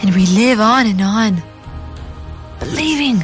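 A woman's voice: mid-range voice, animated, unhurried, soft background music. A woman co-hosting a morning radio show, teasing her partner and reading affirmations in a theatrical, dramatic way. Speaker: radio co-host. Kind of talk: casual morning radio banter.

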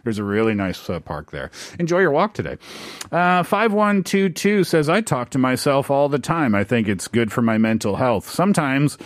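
Lively, chatty male radio host. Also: mid-range voice, normal speed, no room sound, studio quality. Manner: chatty, playful, jokey asides. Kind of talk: casual radio chat, reading listener texts.

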